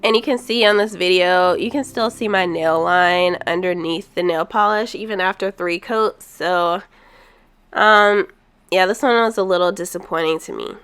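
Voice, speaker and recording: A woman's voice: on the low side, moderate pace, fairly bright tone, smooth. A woman with a stuffy, congested voice from a cold, casually reviewing nail polish with frequent ums and candid opinions. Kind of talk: casual product review voice-over.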